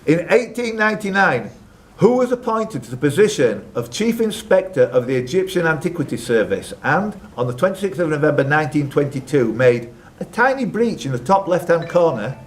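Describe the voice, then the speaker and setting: A man's voice: fairly high pitch, very animated, brisk, few pauses. A man hosting a live quiz in a club room, reading out questions and chatting with the contestants between them. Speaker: quiz host and question reader. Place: social club room with ambient noise behind. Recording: noisy live room recording.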